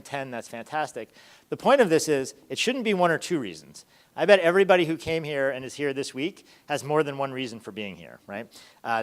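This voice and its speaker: Guy doing a conference talk, really quick talker, kinda low voice, chatty and informal. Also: a bit bright. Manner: conversational, checks in with rhetorical "right?". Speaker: user experience research lead giving a conference talk.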